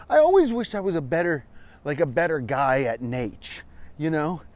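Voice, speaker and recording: A man's voice: fairly high pitch, a little mellow. An American man, around his forties, chatting casually and irreverently as he hosts a rambling comedic walking vlog, swearing freely. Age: 40s. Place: outdoors, walking on a trail.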